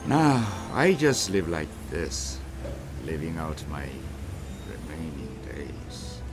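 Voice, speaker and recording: An actor playing a poor, one-legged Chinese railway laborer who now plays music on the street, speaking slowly and humbly. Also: male voice, very deep voice, big pitch swings, exaggerated voice. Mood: weary. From China. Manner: slow, humble and sorrowful. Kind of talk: audio drama acting.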